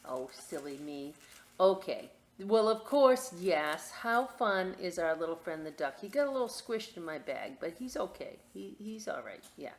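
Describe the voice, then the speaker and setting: A woman hosting a children's story time and craft demonstration, chatting to young listeners in a playful, expressive, informal way with asides. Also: on the low side, a little slow. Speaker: library story time host reading picture books and showing a craft.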